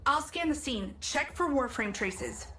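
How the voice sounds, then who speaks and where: An actress playing a young, determined warrior in a video game, speaking in a terse, guarded way. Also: female voice, high voice, expressive, measured pace, background noise. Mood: searching, resolute. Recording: echoey, distant, faint music behind.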